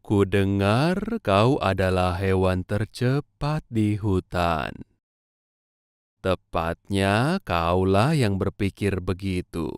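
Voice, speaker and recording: A man voicing a calm, self-assured tortoise in a children's cartoon fable, with a measured manner. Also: deep voice, clear, resonant tone, dry recording, studio quality. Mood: calm, confident. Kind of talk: children's animated fable dialogue.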